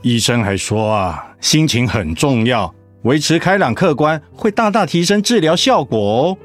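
A man's voice: medium pitch, big pitch swings, unhurried, soft background music. An actor playing a cheerful, doting middle-aged husband and father in a radio drama, playful and encouraging. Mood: reassuring.

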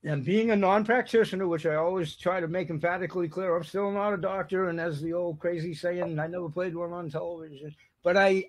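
Rambling host who is losing his voice. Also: a little high. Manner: rambling, apologetic, frequent "correct" and "right" interjections. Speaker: interview host. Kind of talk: conversational interview.

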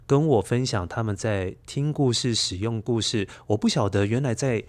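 A man's voice: medium pitch, normal speed, darkish tone. Middle-aged Taiwanese man telling personal stories. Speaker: podcast storyteller, interview guest. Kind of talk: personal storytelling in a conversational interview.

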